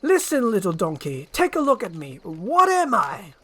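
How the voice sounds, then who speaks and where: A woman voicing a grumpy, solitary male ogre with a gruff, curt and sarcastic manner. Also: medium pitch, wildly swooping pitch, normal speed, pitched above the performer's own voice. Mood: stern. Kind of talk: amateur screenplay voice acting.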